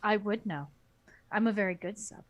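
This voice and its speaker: A woman's voice: mid-range voice, normal speed. A woman co-hosting in casual banter, with a teasing, playful manner. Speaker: book club co-host.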